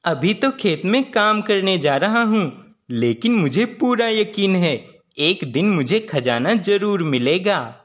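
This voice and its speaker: A man's voice: sits high, very animated, takes it slowly, few pauses, fairly bright tone, pitched above the performer's own voice, heavily acted. A man voicing a naive, earnest and meek village farmer in a cartoon moral story. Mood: earnest, wistful. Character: naive, hopeful village farmer dreaming of treasure.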